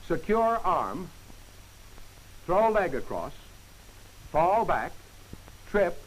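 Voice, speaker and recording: A man giving scripted instructional narration for a training film, in crisp, clipped commands. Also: sits high, sing-song, extremely slow, low-quality recording. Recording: hissy old film soundtrack.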